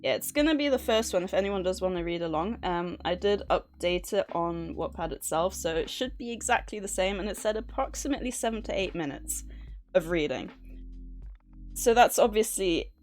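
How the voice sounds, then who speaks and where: A woman with a mid-pitched voice chats casually to her livestream audience. She comes across as nervous and giggly. Music plays behind her as she talks. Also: lively intonation, moderate pace, darkish tone. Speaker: livestream host. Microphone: streaming microphone at a desk.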